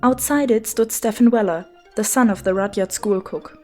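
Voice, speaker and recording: A woman's voice: medium pitch, normal speed, darkish tone. A woman reading a children's story as its narrator, in a scripted storybook style. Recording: music behind the voice.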